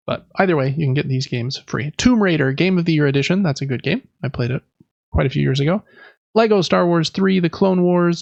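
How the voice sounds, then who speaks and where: A man's voice: a little high, creaky, no echo, lo-fi sound. A man hosting a podcast, chatting informally and with enthusiasm about games. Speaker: podcast host. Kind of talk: casual gaming podcast chat.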